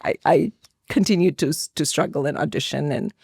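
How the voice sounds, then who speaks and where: A woman's voice: low voice, brisk, gravelly, a little mellow, slightly rough, no echo, clean studio sound. A middle-aged woman from India talking as an interview guest, telling personal stories conversationally and acting out bits of remembered dialogue. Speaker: podcast guest, actor.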